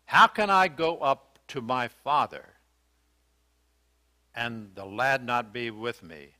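An older man delivers a sermon from the front of a church, his voice bright and clear at a middle pitch. He asks the congregation earnest questions and pauses on fillers and repeated words as he thinks aloud. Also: lively intonation. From United States. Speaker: preacher. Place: church sanctuary, indoors.

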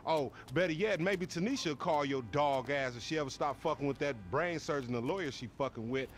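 A man's voice: a little high, a little fast, a little hiss or hum, lightly acted. A man voicing a brash, trash-talking young hustler in a video game, cocky, slangy and mocking. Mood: gleeful insulting. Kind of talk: video game cutscene acting.

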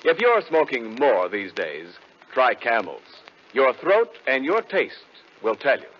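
A man's voice: medium pitch, very animated, slow. An American man announcing on 1940s radio, reading scripted copy with a polished, emphatic salesman's delivery. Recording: muffled 1940s radio broadcast.

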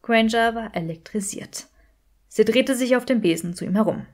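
A woman reading fiction as an audiobook narrator, in expressive scripted narration. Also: fairly high pitch, normal speed.

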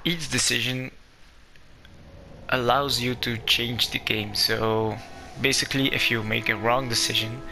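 A man's voice: medium pitch, steady tone, a little slow, creaky. A young Belgian man with a Flemish accent and Dutch as his first language, giving hesitant, apologetic let's-play commentary in English. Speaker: gaming YouTuber. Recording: game music playing underneath.

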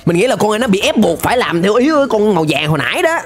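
A young man with a southern Vietnamese accent reacts casually and excitedly to a cartoon. His pitch jumps around freely, and he talks close to the mic with some music underneath. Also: rapid-fire. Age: young adult. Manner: animated, exclaiming. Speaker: reaction streamer. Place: indoor streaming room. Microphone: close desk microphone, headset on. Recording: music playing behind the voice.

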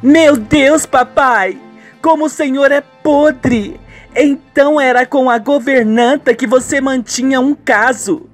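A man's voice: very high voice, takes it slowly, faint music behind, voice pushed up. A man playing a shocked, reproachful young daughter on the verge of tears in a radio soap opera. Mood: tearful, reproachful.

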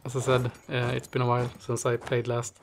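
A man's voice: medium pitch, completely flat delivery, fairly quick, vocal fry, soft background music. A man with a stuffy nose and a slightly strained voice, hosting casual strategy game commentary, thinking aloud with many fillers. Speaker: gaming channel host.

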